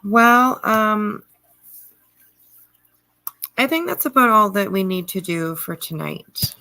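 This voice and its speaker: A middle-aged woman hosting a livestream, chatting casually and answering viewer comments in a halting way with frequent pauses. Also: medium pitch, animated, pushed and bright, no echo. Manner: halting, pausing often mid-sentence. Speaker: livestream host.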